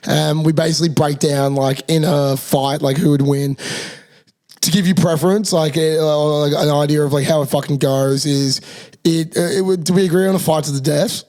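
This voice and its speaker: Gravelly Australian podcast host. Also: male voice, fairly high pitch, steady tone, normal speed, darkish tone. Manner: rowdy, sweary, laughing storyteller. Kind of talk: casual podcast chat.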